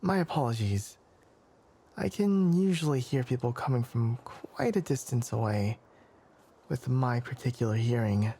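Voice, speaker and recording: A man playing a young, scholarly forest ranger with animal ears in an ASMR roleplay, courteous and measured, with a soft, breathy voice. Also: medium pitch, a little hiss or hum, natural voice. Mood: polite, welcoming. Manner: measured, hesitant, courteous, bookish phrasing. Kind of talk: ASMR roleplay, soft character acting addressed to listener.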